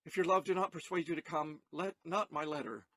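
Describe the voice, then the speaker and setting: An actor playing an earnest, distraught young gentleman lover in a Shakespearean audio play, with theatrical, declamatory delivery. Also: a little high, fairly even intonation, a little fast, dry recording. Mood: sorrowful, tender.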